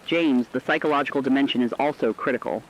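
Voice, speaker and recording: A man voicing a serious radio correspondent, giving scripted crisis analysis in a formal, earnest, measured way. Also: mid-range voice, lightly acted. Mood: serious, concerned. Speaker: news correspondent. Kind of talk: scripted emergency radio news report. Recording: dull lo-fi radio broadcast sound.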